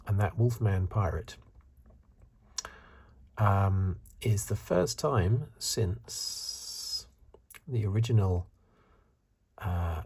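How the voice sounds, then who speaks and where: A man giving soft-spoken, partly whispered ASMR review commentary in a breathy, hesitant, musing way. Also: sits low. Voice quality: breathy, hushed. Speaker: host of a film review video.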